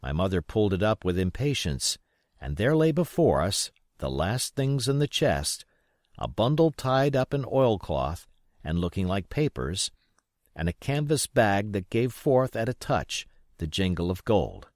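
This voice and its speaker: Low-pitched man narrating, lively intonation. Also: unhurried, dry recording, clean studio sound. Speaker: audiobook reader. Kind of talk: expressive scripted narration of an adventure novel.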